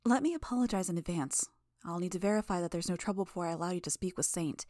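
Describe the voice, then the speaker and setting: A woman's voice: on the low side, quick pace, darkish tone, no room sound, clean studio sound, natural voice. A woman reading an audiobook, voicing a poised, formal adult woman leader who speaks with careful courtesy. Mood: polite.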